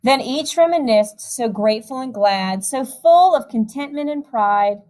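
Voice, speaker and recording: Woman, high voice, children's storybook read-aloud, animated teacher delivery, chatty asides. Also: measured pace. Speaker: music teacher reading a picture book aloud.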